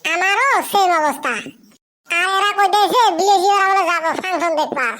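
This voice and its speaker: A man's voice: extremely high pitch, moderate pace, sharp tone. A man voicing a cheeky, boastful village layabout in a comic cartoon. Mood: eager.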